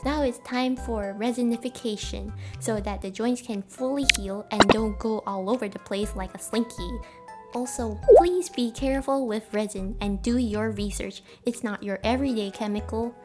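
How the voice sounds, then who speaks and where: Woman w a highish voice doing jokey slangy craft voiceover, bit dramatic, soft music under it. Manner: tongue-in-cheek, slangy, theatrical. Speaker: craft video creator. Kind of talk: playful voice-over narration, mock doctor bit. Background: background music.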